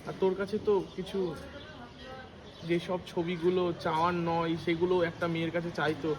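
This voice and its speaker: A young man acting an awkward, gossiping friend in an amateur short film, speaking haltingly and evasively. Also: sits high, little pitch movement, lightly acted. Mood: hesitant, awkward. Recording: noisy amateur recording with ambient background noise.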